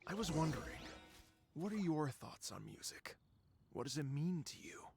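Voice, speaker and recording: A man's voice: a little high, big pitch swings, moderate pace, creaky, darkish tone, soft background music. A man voicing an earnest, polite, easily awed musician in a video game, courteous and a little hesitant. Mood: thoughtful. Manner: hesitant, courteous, stammering slightly. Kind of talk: video game character acting.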